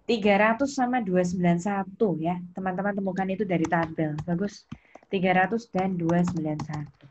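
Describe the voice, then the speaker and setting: Low-voiced Indonesian woman teaching, muffled audio. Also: fairly even intonation, fairly quick, a crowd in the background. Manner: repeats key points, prompts and praises students. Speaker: accounting teacher. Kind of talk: interactive online lesson, questioning students by name. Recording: muffled lo-fi audio with background noise.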